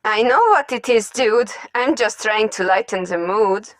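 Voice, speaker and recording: A woman's voice: medium pitch, unhurried, no room sound, lightly acted. A woman voicing a casual, teasing teenage brother in an amateur comic dub. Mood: defensive.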